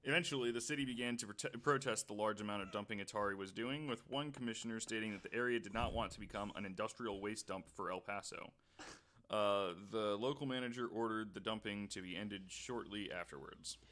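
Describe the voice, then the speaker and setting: A man's voice: fairly low pitch, a little fast, fairly bright tone. A man hosting a casual podcast, reading researched notes aloud and often stumbling and correcting himself.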